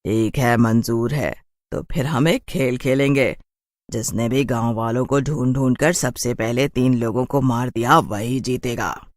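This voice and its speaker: A woman's voice: a bass voice, measured pace, a little mellow, no room sound, studio quality. A woman playing a haughty, mocking city witch in a horror cartoon, with a taunting drawl. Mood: confident.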